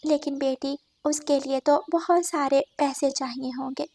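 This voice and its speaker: A woman voicing a gentle, innocent, caring mother bird with a soft, soothing manner in a cartoon story. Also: high-pitched, fairly even intonation, unhurried. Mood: gentle, concerned. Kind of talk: Hindi animated moral-story dialogue.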